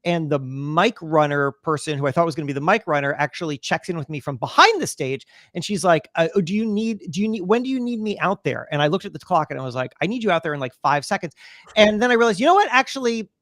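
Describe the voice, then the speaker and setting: A man speaking as an interview guest, telling stories conversationally and acting out bits of dialogue in an animated way. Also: a little high, fast, no room sound, studio quality.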